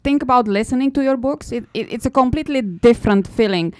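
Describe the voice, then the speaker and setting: A woman's voice: on the high side, fast talker, no echo. Eager woman with a Romanian accent. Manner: effusive, eager. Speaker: audience member asking a question. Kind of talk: question from the floor.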